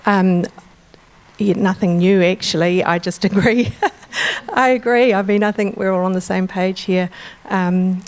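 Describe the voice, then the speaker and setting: A woman's voice: medium pitch, steady tone, measured pace, darkish tone. NZ woman panelist, mid voice, agreeable, hesitant. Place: conference room.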